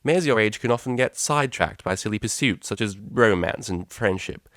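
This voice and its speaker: A man's voice: lowish voice, lively intonation, normal speed, fairly bright tone, dry recording, clean studio sound. A man voicing a haughty, commanding pony mother with a clipped, condescending manner, in a story reading. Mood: scolding, condescending.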